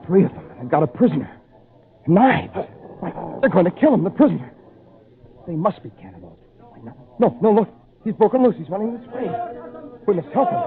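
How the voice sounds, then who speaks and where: An actor playing a lonely, earnest castaway in an old radio drama, with theatrical, deliberate phrasing. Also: male voice, high voice, very animated. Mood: alarmed, urgent. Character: lonely castaway man, brooding, earnest, excitable. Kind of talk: old time radio drama narration and acting. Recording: tinny, noisy old radio recording.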